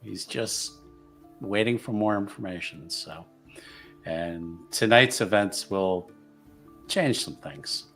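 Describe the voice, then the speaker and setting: A man's voice: on the low side, takes it slowly, vocal fry, pauses often, fairly bright tone. A man playing a tabletop roleplaying game, loosely improvising what his character does, repeating words and trailing off. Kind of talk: casual improvised narration of own character. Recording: music under voice. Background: background music.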